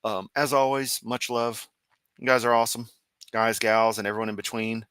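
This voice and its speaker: A man's voice: mid-range voice, level tone, moderate pace, fairly bright tone, clear, resonant tone, no room sound. A man hosting a casual live stream, rambling and sarcastic while clicking around, with a sore throat. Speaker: livestream host.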